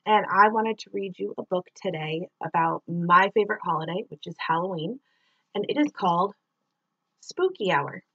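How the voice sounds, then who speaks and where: A middle-aged woman doing an expressive read-aloud of a rhyming children's story, drawing out words and sound effects like a teacher reading to pupils. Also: on the low side, moderate pace, slightly rough, muffled recording.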